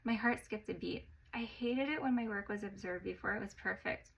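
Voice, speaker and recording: A woman narrating a personal story in an expressive way, getting louder at the exciting parts. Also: medium pitch, brisk, gravelly, highs cut off.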